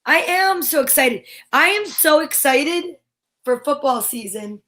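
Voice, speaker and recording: A woman's voice: sits high, lively intonation, measured pace, pushed and bright. A woman co-hosting a livestream in casual, chatty banter. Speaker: co-host of a livestream show.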